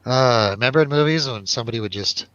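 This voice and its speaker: A man's voice: mid-range voice, fast, a bit bright, no echo, muffled recording. A man chatting casually and jokily as host of a film review show, in informal, slangy talk. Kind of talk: casual chat, film review over drinks.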